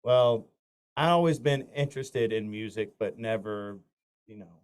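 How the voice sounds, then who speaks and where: A man's voice: lowish voice, unhurried, smooth. A man from north Florida, a country singer, talking casually in an interview and telling long stories full of fillers and restarts. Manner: rambling anecdotes with many fillers and restarts.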